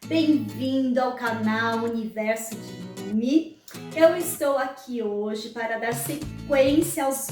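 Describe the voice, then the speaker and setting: Slow, high-voiced Brazilian woman explaining earnestly. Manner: frequent tag questions, earnest and didactic. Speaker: channel host. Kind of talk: explanatory vlog talk. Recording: roomy echo, mic at a distance.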